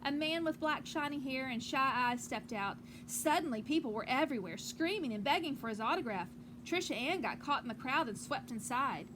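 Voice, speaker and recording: A woman teacher reading a picture book aloud to children outdoors on a porch, with gentle, encouraging asides. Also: high-pitched, animated, moderate pace, few pauses. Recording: clearly noisy outdoor ambience.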